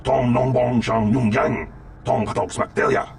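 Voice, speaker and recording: An actor playing a gruff, gravelly male alien who speaks an invented tongue in a video game. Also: mid-range voice, fairly even intonation, moderate pace, a little hiss or hum, close to an everyday voice. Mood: gruff, insistent. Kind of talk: video game character acting. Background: music.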